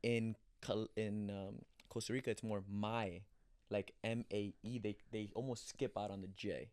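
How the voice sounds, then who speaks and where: A young man from Colombia, a former pro soccer player, telling animated stories in a casual interview, acting out quotes and restarting often. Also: fairly low pitch, a little slow, dry recording, studio quality. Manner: animated storyteller, acts out quotes, frequent restarts.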